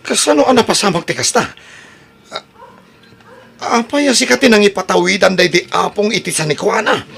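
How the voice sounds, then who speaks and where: A man playing a brash, freeloading grandson in an Ilocano radio drama, with an expressive, whiny delivery and an Ilocano accent. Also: high-pitched, moderate pace, creaky, long pauses, slightly rough, exaggerated voice. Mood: indignant. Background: background music.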